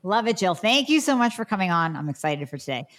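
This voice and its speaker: A woman's voice: medium pitch, very animated, fast. A woman hosting a casual health interview, chatty and enthusiastic, opening with the guest's bio. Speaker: podcast host.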